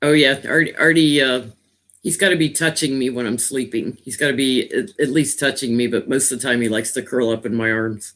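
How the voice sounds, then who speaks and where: A man with a naturally loud voice chats on a home live stream as a tarot reader. He talks easily and rambles, laughing often, and sits a little back from the laptop that picks him up. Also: medium pitch, fairly even intonation. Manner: chatty and rambling, quick to laugh. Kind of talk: casual live stream chat. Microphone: laptop, sitting back from it.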